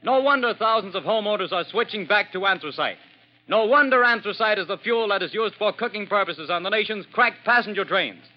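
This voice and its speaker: Male 1940s ad announcer, high pitch, deliberate emphatic sell, dull old radio sound. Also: lively intonation. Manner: emphatic, deliberate salesman delivery. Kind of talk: 1940s radio advertisement read. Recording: muffled vintage radio recording.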